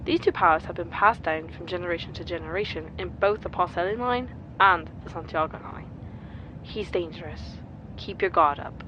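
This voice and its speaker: A woman's voice: on the low side, normal speed. A woman as a cool, muffled earpiece adviser. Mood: cool, composed. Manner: calm, precise, explanatory. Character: cool, composed female mission adviser on an earpiece. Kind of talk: audio drama acting. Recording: band-limited earpiece sound, faint background noise.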